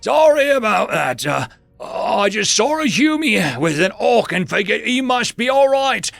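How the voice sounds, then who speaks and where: Guy putting on a high shouty orc kid, thick accent, stammery, dry room, music faint. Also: big pitch swings, unhurried, vocal fry, no room sound, heavily acted. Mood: sheepish. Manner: shouting then stammering nervously. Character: tough teen orc boy, loud bully turned sheepish. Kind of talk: storybook narration.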